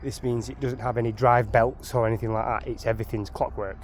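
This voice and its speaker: A man's voice: mid-range voice, flat delivery, fast, a little hiss or hum. Chatty Sheffield man fixing his van. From Sheffield, England. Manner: chatty, rambling, repeats words. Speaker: DIY van owner. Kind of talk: casual how-to walkthrough.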